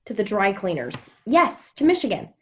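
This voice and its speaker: A woman's voice: mid-range voice, sing-song, normal speed, fairly bright tone. Woman playing a blunt, teasing young woman. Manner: frank, sarcastic. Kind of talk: naturalistic table read of a TV drama.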